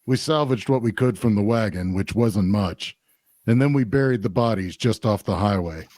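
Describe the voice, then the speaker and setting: A man playing an obliging small-town barkeep in a fantasy audio drama, plain-spoken, polite and unhurried. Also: lowish voice, a little mellow, no room sound, close to an everyday voice. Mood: grave, confiding. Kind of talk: audio drama table-read dialogue.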